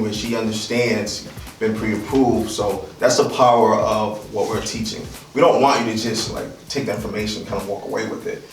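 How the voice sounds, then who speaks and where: A man from Liberia in his thirties presenting an informal real estate workshop, talking conversationally with lots of "right?" and "um". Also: fairly low pitch. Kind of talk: informal seminar talk. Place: room in a house, with a seated audience. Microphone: far camera. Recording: echoey room, picked up from a distance.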